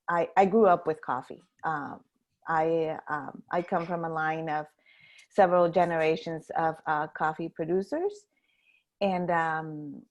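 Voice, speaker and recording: A woman from Guatemala speaks on a video call, her voice a little low for a woman. She talks in a halting way and fills her pauses with many ums and restarts. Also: darkish tone. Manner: hesitant, many fillers and restarts. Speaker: coffee importer guest. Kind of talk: webinar interview.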